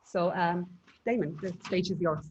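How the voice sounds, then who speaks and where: A woman moderating an academic panel, reading prepared remarks in a measured way with hesitant restarts. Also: on the low side, steady tone, dull audio, heavy background noise.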